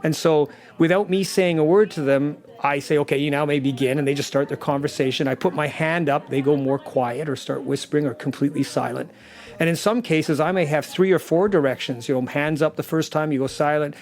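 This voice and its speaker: A man's voice: on the high side, fairly quick, darkish tone. A man who works as a sound designer explains his process in an earnest, emphatic interview manner. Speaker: audio drama sound designer.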